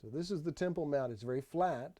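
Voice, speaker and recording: Mellow-voiced man narrating a travel video. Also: a little high, quick pace. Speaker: tour narrator. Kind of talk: unscripted travel video voice-over.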